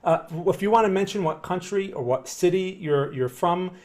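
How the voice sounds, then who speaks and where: A man's voice: high-pitched, moderate pace, a little mellow. A man in his thirties with a New Jersey accent, hosting a live market-analysis stream from a small room, talking in a loose conversational way and often checking in with "right?". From northern New Jersey, USA. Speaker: market analyst livestream host. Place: small room in front of a blue backdrop.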